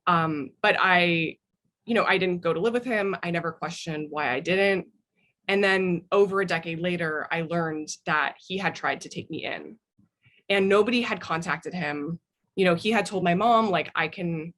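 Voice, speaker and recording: Woman, American accent, lowish pitch, interview guest, thoughtful personal storytelling. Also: a bit bright. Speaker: interview guest, memoir author.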